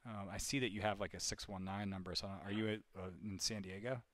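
Low-voiced man making sales calls. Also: fairly even intonation, fairly quick, a bit bright, no echo. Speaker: telemarketing caller for a real estate group. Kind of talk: live cold call, casual phone chat.

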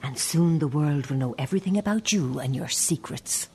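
A woman's voice: sits low, animated, moderate pace, dark tone, slight background noise. An actress with an Irish accent playing an embittered middle-aged mother in a radio play, cutting and confrontational. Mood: cold defiance.

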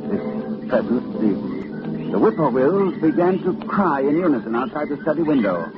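An actor playing a haunted, horrified male scholar in 1940s radio style, with a dramatic, halting delivery. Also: fairly high pitch, sing-song, highs cut off. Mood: awed horror. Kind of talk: 1940s radio horror acting. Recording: scratchy 1940s radio recording with music.

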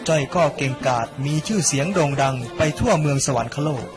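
Youngish guy narrating a cartoon history tale, voice a bit high, loud music behind him. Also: lively intonation, a little fast. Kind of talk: scripted animated story narration. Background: loud background music.

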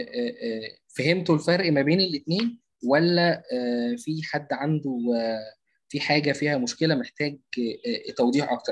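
A man with an Egyptian Arabic accent teaching marketing in a live online class over a video call, talking fast with many drawn-out fillers and frequent check-ins. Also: on the high side. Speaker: marketing instructor. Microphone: computer mic on video call.

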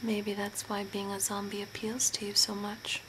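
A young woman playing an eerie, soothing zombie-makeover artist in a soft, breathy ASMR whisper, slow and deliberate. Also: medium pitch, completely flat delivery, natural voice. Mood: hushed, eerie. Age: young adult. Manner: slow, hushed, deliberate, spooky. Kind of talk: whispered ASMR role play. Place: small room at home by a window. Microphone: close camera at arm's length. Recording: noisy home recording with ambience.

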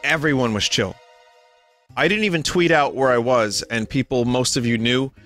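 A young man, a little hoarse and tired, chatting casually and rambling to his stream viewers from home. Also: medium pitch, moderate pace, fairly bright tone, faint music behind. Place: home room.

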